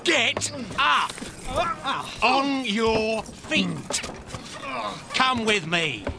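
A man acting a rough, bullying ship's mate who barks orders and obeys his captain, in a radio drama. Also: extremely high pitch, very animated, very slow, background noise, full character voice. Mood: barking. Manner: barking orders.

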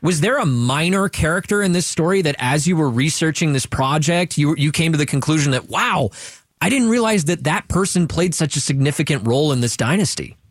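A man's voice: on the high side, lively intonation, a little fast, dry recording, clean studio sound. A man hosting a radio interview, introducing the guest and plugging the show in an upbeat way. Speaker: radio host.

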